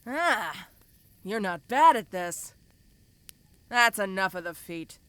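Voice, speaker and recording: A woman's voice: medium pitch, animated, slow, a bit bright, clean tone, no echo. A woman voicing a domineering giant ogre mercenary in an audio roleplay, speaking to the listener in a casual, commanding, teasing way. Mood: amused, commanding. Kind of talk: first-person audio roleplay addressed to the listener.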